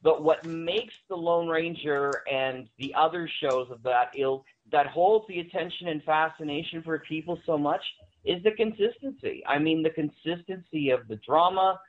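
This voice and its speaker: A man's voice: a little high, normal speed. A man from Canada talking conversationally as a podcast guest. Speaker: podcast guest, audio drama writer-director. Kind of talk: conversational roundtable discussion. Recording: thin, phone-like band-limited line.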